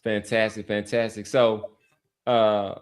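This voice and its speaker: A man's voice: fairly low pitch, flat delivery, takes it slowly, clean tone. A man with an American accent hosting a casual sports talk show. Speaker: sports podcast host.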